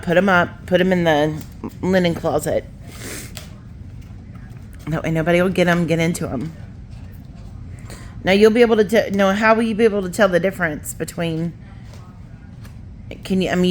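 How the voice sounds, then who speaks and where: Woman, lowish voice, informal helper asking questions and giving plain instructions, slight background noise. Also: steady tone, moderate pace, long pauses. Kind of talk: casual home chat, prompting with questions.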